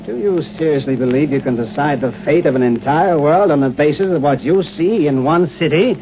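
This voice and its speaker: A thin, faintly noisy old radio recording carries an idealistic American scientist. His voice sits a bit high, and he speaks with earnest, dreamy hesitation in 1950s drama style. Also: lively intonation, brisk, muffled recording. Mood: indignant, worried.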